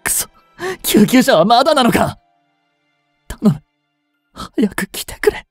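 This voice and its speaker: An actor playing an anguished, devoted young police officer boyfriend in an audio drama, with breathy, halting, emotional delivery. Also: male voice, very high voice, animated, no room sound, clean studio sound, soft background music, exaggerated voice. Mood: anguished, urgent. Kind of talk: dramatic Japanese situation voice acting.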